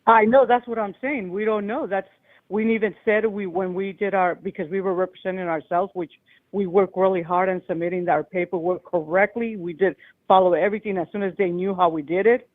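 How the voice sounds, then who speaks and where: A woman calls in over a tinny, thin phone connection, her loud voice pushing through a long, rambling, agitated story. The sound is dry and close, with no room around it. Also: mid-range voice, fairly even intonation, fairly quick, no room sound. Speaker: caller. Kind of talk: call-in show phone call.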